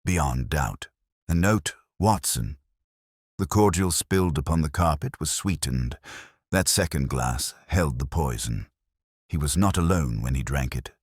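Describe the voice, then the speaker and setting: Keen detective played by a male narrator, very low voice, precise, commanding, audiobook drama. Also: animated, a little slow, husky, dry recording, studio quality, heavily acted. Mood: assured, precise. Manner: precise, authoritative, deductive.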